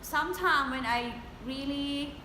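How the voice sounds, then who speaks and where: Woman, first language thai, interviewee, halting and searching for words, higher pitch. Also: bright, forceful tone. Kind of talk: interview answers. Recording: echoey room with background noise.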